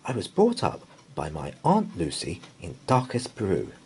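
A man's voice: low-pitched, wildly swooping pitch, moderate pace, darkish tone. A man voicing a polite, earnest young bear in a storybook.

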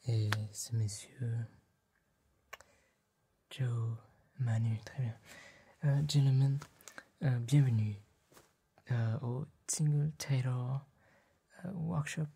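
A young man performing a soft-spoken, partly whispered ASMR roleplay as a polite, formal gentleman tailor, hesitant and courteous. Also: medium pitch, extremely slow, pauses often. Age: young adult. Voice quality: breathy, whispery.

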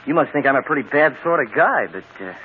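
Through a tinny, clearly hissing old radio recording, a man plays a lovesick young suitor. He is pushy and desperate, with a very animated, dramatic delivery. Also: medium pitch, fast talker, close to an everyday voice. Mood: uneasy bravado. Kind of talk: 1940s radio acting.

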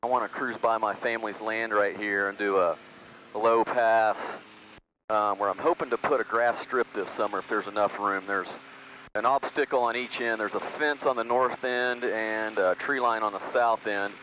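A man's voice: fairly low pitch, fairly even intonation, normal speed, rough recording. A young man flying a small plane, chatting casually through his headset mic and thinking aloud with frequent restarts. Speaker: vlog host and pilot. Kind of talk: casual narration while flying. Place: small open-sided plane cockpit in flight. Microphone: aviation headset mic. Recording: loud engine and wind noise.

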